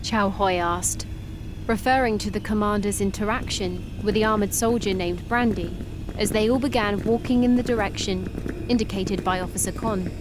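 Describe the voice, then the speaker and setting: A woman giving even, measured scripted narration for an animated sci-fi story. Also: medium pitch, noisy background.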